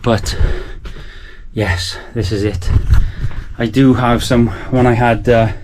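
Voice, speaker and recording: A man's voice: mid-range voice, fairly even intonation, takes it slowly, darkish tone, slight background noise. Enthusiastic man in his forties. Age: 40s. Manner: enthusiastic, rambling. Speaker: home studio vlogger. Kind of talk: casual chat to camera. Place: small sound-proofed garage studio.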